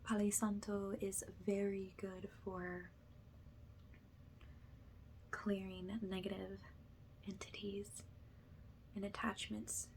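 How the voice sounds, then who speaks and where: Softly whispering ASMR woman, unhurried and even. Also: medium pitch, slight background noise, poor audio. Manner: soft-spoken, often drops into a whisper. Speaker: ASMR energy healer, host. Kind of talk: ASMR, guided spiritual cleansing.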